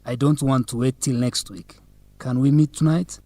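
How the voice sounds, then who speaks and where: A man's voice: medium pitch, steady tone, moderate pace, mellow, no room sound, close to an everyday voice. Man acting as a polite keen student council guy in a radio drama, direct, dry studio sound. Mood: eager, courteous. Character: eager, courteous young male student council president. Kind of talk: scripted educational radio drama dialogue.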